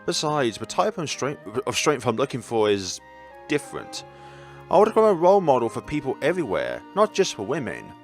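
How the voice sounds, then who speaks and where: Teen martial arts captain played by a man: assertive, caring, expressive intonation, visual novel dialogue. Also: medium pitch, a little fast. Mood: earnest. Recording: background game music.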